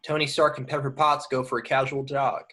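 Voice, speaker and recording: A man's voice: mid-range voice, little pitch movement, a little fast, sharp tone. A man narrating scripted action descriptions at a table read. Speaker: stage direction narrator.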